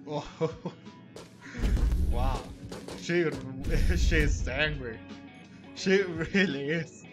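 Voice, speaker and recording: Casual male gamer over clear music. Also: a little high, drawn-out words. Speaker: gamer playing and commenting. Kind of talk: casual game commentary, thinking aloud. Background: game music.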